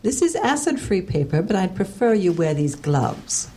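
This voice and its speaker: A woman's voice: mid-range voice, sing-song, normal speed, warm tone, slightly rough. An actress playing a prickly woman in her sixties who researches at a small-town library, speaking in a clipped, haughty, impatient way for a radio drama. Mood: stern, bossy. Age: 60s.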